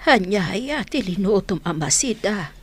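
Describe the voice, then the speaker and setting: A husky, doting old mother. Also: mid-range voice, expressive, normal speed, no echo. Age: older. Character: older mother, doting and caring.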